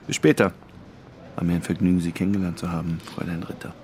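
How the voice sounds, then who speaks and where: Actor as cool, probing police colleague. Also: male voice, low voice, level tone, fast, noisy background, lightly acted. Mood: polite, cool. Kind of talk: naturalistic radio drama acting.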